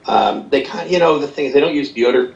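A man hosting a casual comedy chat, rambling with deadpan crude jokes. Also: mid-range voice, quick pace, creaky, highs cut off. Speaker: host. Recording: echoey room, off-mic, faint noise.

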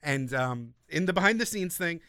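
A man's voice: a little high, animated, normal speed, pushed and bright, clear, resonant tone, dry recording, studio quality. A man co-hosting a film podcast in casual chat, telling stories animatedly and swearing freely. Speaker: podcast co-host.

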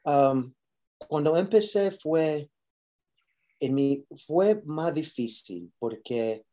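A man's voice: mid-range voice, dark tone. A man, an actor, answering interview questions casually, mixing Spanish and English with many fillers and restarts.

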